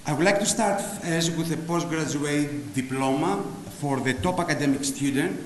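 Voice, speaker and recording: A man's voice: a little high, normal speed. A man with a Greek accent hosting a formal graduation ceremony and announcing prizes. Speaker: ceremony host presenting prizes. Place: large hall at a graduation ceremony. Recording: echoey hall, loud crowd and noise. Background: audience crowd noise.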